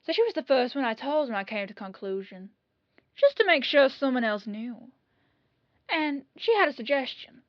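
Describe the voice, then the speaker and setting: A woman's voice: sits high, very animated, normal speed, no room sound, lo-fi sound. A woman playing a plain-spoken, breezy police detective lieutenant in an audio roleplay, talking in a casual, colloquial way. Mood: casual, explaining.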